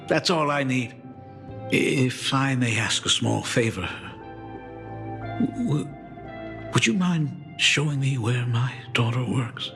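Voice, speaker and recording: A man's voice: medium pitch, slow, pauses often, over music. An actor playing a middle-aged doting father in a video game, self-deprecating, polite and hesitant in an emotive way. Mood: earnest, humble.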